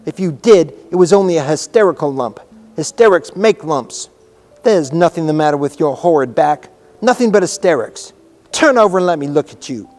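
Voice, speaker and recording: A man's voice: a little high, lively intonation, moderate pace, a little mellow, long reverb tail, soft background music, lightly acted. A man voicing a fierce, sour, hot-tempered young girl in a storybook, scolding emphatically. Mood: fierce, commanding.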